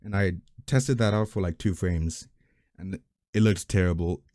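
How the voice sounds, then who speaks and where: Casual male animator, very low mellow voice, dry close mic, blunt, swears freely. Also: normal speed, dry recording. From family from Mexico. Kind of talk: casual chat.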